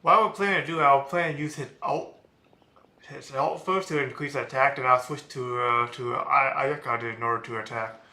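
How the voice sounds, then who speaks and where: A male streamer giving casual, rambling, halting commentary while playing a game. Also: medium pitch, steady tone, pushed and bright. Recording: echoey room with game music.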